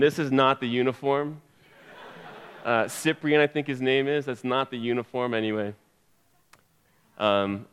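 A man's voice: mid-range voice, a little hiss or hum. A man introducing a guest preacher at church with rambling jokes and many fillers. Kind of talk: humorous introduction.

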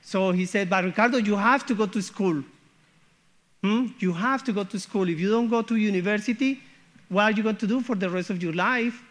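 A man's voice: high-pitched, a little fast, fairly bright tone. A man, sharing his church testimony as a guest missionary in an earnest way that often checks in with "okay?" and "hmm?". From Colombia.

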